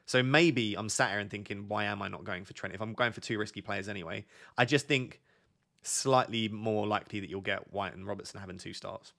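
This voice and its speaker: A man's voice: on the low side, creaky. A man in his thirties with a sore, fading voice, reading viewer questions and thinking aloud on a live stream from a home desk. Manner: thinks aloud, reads questions from chat, talks quieter and slower. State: losing his voice, sore throat. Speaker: fantasy football streamer. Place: indoor home studio at a desk.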